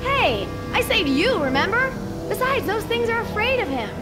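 A woman playing an eager, cheeky teenage girl in a video game, youthful and excitable. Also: very high voice, normal speed. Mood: pleading. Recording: loud film-style music behind.

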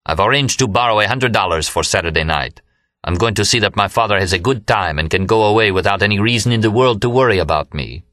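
A courteous young man played by a male narrator pleads with his friends in an audiobook. He sounds wounded and sincere, formal in his wording, with a clear bright edge to the voice. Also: on the low side, fast talker, no room sound, studio quality, natural voice. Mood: earnest pleading.

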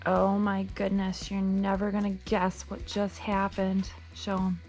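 A woman's voice: mid-range voice, completely flat delivery, measured pace, a little mellow. A woman in her thirties with an American accent, chatting casually and cheerfully to a family vlog camera with gushing exclamations. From United States. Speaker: family vlogger and mother. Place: handheld family camera in car, house and yard. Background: background music.

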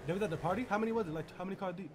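A man's voice: sits high, very fast, background noise. A man improvising street roleplay in an online game with brash, slangy talk, often repeating his phrases. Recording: music behind the voice. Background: music.

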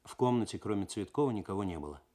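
A man's voice: on the low side, rapid-fire. A man reading a detective story expressively, in the dramatic literary style of 1970s Soviet radio. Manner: expressive, actorly, suspenseful. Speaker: narrator, reader.

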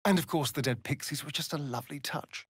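An actor playing a sly, vain, sardonic vampire rogue in a video game, with an arch, theatrical drawl. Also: male voice, on the high side, a little fast, slightly rough, dry recording, studio quality. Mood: sly, amused. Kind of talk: video game character voice lines.

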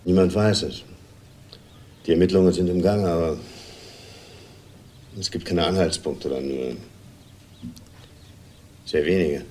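An actor playing a middle-aged widowed husband in a crime drama, measured, halting and evasive. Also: male voice, low-pitched, steady tone, darkish tone, a little hiss or hum. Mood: calm, firm. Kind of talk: German television crime drama acting.

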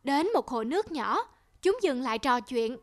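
A woman voicing a patient, gently instructive mother in a cartoon. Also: high voice, animated, moderate pace, voice pushed down. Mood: storytelling. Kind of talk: cartoon dialogue and storytelling.

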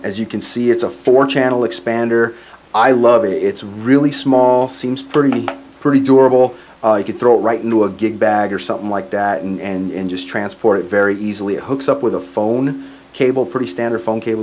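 A man's voice: on the low side, fairly even intonation, brisk, a little mellow. A man in his forties giving a casual, matter-of-fact product demo as a gigging musician. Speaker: musician reviewing live sound gear. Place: small curtained home studio, indoors. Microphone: microphone on boom stand into PA, plus some room sound. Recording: clear background noise, some room sound.

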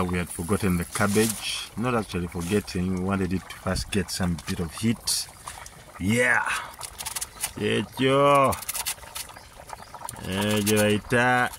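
Low-voiced Ugandan man cooking outdoors. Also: takes it slowly, long pauses, fairly bright tone. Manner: mixes Luganda and English, talks to viewers while working. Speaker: homestead cook and channel host. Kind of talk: casual cooking vlog commentary. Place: outdoors at a rural homestead cooking over a wood fire. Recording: outdoor recording with clear background noise. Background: rural outdoor ambience.

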